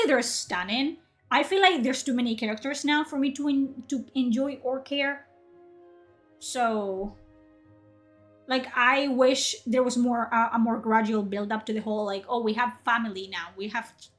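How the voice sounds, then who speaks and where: Young woman from Spain, native Spanish speaker, loose chatty streamer, slightly high voice, faint music bed. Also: a bit bright. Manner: chatty, rambling, reads chat aloud. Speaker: livestream host. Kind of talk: casual livestream chat.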